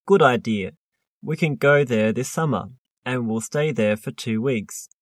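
A friendly, middle-aged father in an exam dialogue, read by a man. His delivery is plain and clear, at a mid pitch. The recording is close and dry. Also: unhurried, dry recording, close to an everyday voice. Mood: kind. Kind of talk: scripted listening-test dialogue.

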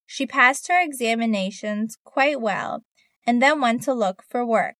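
A woman's voice: fairly high pitch, unhurried, no echo, clean studio sound. Woman reading a textbook passage. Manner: clear, even textbook reading. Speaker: test narrator. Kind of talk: scripted narration.

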